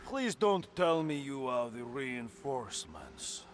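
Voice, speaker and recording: A man's voice: medium pitch, big pitch swings, slow pace, a little hiss or hum. An actor playing an anxious, overwhelmed male soldier in a video game. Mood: dismayed, flustered.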